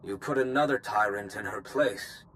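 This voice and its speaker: A man's voice: lowish voice, moderate pace, a bit bright, a little hiss or hum, lightly acted, rough recording. Intense, vengeful male conspirator played by an actor. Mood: stern, persuasive. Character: obsessed vengeful male conspirator, zealous and driven. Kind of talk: dramatic video game cutscene acting.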